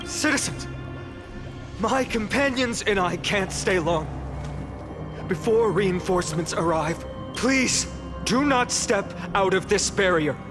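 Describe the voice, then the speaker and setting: A resolute young lord in a fantasy game. His voice sits a little high and he speaks slowly, formally and gravely, with clear music behind him. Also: expressive. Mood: resolute, reassuring. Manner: measured, formal, earnest. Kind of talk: English video game voice acting.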